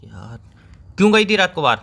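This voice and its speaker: A man's voice: high voice, big pitch swings, fairly quick, creaky, bright, forceful tone. A young man joking and bantering on a phone call, acting mock-exasperated. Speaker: boyfriend on a call. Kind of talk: casual phone chat.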